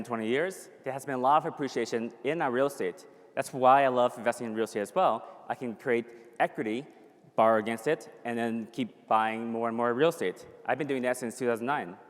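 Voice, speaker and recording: A man in his thirties speaks at a middle pitch, pitching to an audience in an upbeat way with the odd "uh" and restart. His voice rings out into a large hall with a long reverb tail. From born in a refugee camp in Thailand, raised in the US. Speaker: conference speaker. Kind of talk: conference presentation. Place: conference hall.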